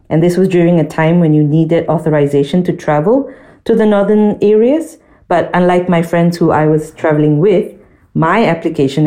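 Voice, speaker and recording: A woman co-hosting a podcast, explaining things in an articulate, measured way. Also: deep voice, mellow. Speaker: podcast co-host.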